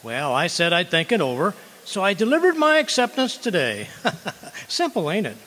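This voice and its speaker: Casting: male actor as excitable, dodging husband for vintage radio comedy, high voice, swooping exclamations. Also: moderate pace, long reverb tail, exaggerated voice, rough recording. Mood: evasive, breezy. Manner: blustery, exclaiming, stammering. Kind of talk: 1930s-40s radio comedy acting.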